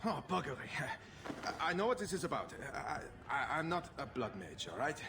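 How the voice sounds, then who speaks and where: Naive young runaway mage, twenties, fantasy game, raised pitch, lively swings, stammering, boyish bluster. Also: male voice, moderate pace, creaky, background noise. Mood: nervous, sheepish. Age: 20s. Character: hapless, naive young runaway mage, would-be ladies' man. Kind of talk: video game voice acting.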